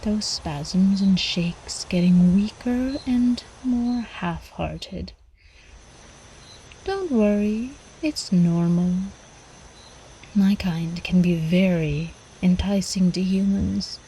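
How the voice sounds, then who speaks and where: A woman voicing an ancient, seductive vampire countess in an intimate ASMR roleplay, teasing and cooing with quiet menace, often slipping into whispers. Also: medium pitch, very slow, slight background noise, poor audio. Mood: calm, predatory.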